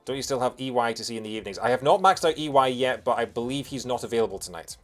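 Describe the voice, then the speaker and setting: A man from Lanarkshire with a soft Scottish accent, doing casual, jokey live gameplay commentary as a streamer. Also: mid-range voice, racing. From Bothwell, Lanarkshire, Scotland. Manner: jokey, punning, animated when reacting to the game. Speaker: video game streamer. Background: game music.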